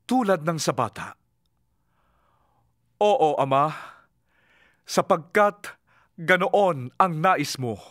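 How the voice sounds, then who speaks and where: Fervent preacher, high forceful voice, solemn, authoritative, declamatory, scripture audio drama. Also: male voice, no echo, clean studio sound. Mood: reverent, grateful. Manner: declamatory, deliberate.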